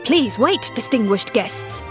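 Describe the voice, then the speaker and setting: A woman's voice: on the high side, animated, slow pace, voice pushed up. A woman voicing a polite, deferential palace guard who speaks formally in a fantasy game. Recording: background music under the voice.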